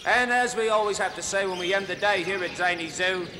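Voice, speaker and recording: A man's voice: high-pitched, fairly quick, noisy background, close to an everyday voice. An actor playing a jolly, chatty male zoo host who gushes to the audience and coos at the animals. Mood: gushing. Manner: chummy, gushing, talks to the audience and animals. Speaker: zoo show host character. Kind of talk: comedy sketch acting.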